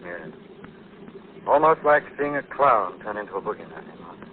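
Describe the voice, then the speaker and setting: An assured gentleman detective, knowing and composed. His low voice moves with lively inflection in 1940s radio drama fashion. It comes through a tinny old recording. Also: moderate pace, slight background noise. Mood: calm, explaining.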